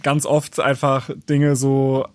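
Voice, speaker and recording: A man's voice: medium pitch, completely flat delivery, takes it slowly, clear, resonant tone, no echo. A man hosting a radio call-in show, talking casually with lots of fillers and restarts. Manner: informal, hesitant with many fillers.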